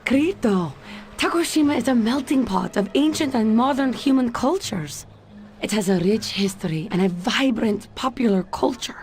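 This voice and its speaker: A woman's voice: fairly high pitch, lively intonation, moderate pace, darkish tone, lightly acted. A woman playing a shrewd Soviet spy in a video game, speaking with a Russian accent in a guarded, wry way. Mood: patient, cautioning. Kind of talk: video game cutscene voice acting.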